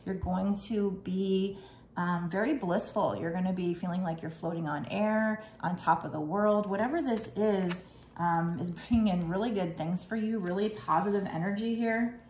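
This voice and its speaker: A woman's voice: mid-range voice, normal speed, darkish tone. Woman, tarot reader, rambling, slightly froggy, roomy echo, noisy background. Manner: rambling, reassuring, many fillers. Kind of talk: casual tarot reading.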